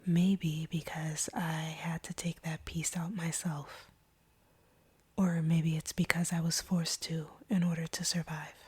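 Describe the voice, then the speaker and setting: Woman doing a wounded vampire lady, low soft voice, slow halting talk, super breathy, intimate audio roleplay. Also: flat delivery, heavily acted. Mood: wounded, sorrowful. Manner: slow, hesitant, halting delivery. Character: guarded, wounded female vampire confessing feelings.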